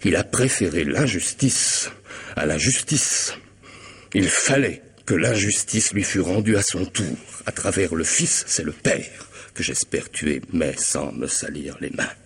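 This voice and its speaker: Husky, deep, menacing killer. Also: male voice, lively intonation, unhurried, heavily acted. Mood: cold, bitter. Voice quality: husky, gravelly voice. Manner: theatrical menace, swinging from hushed to loud. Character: menacing, vengeful male killer, mocking and theatrical. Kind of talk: French radio drama acting.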